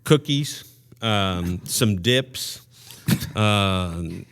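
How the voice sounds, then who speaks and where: A man's voice: lowish voice, expressive, drawn-out words, fairly bright tone, clear, resonant tone. A man co-hosting a podcast, speaking in loose casual chat. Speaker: podcast co-host.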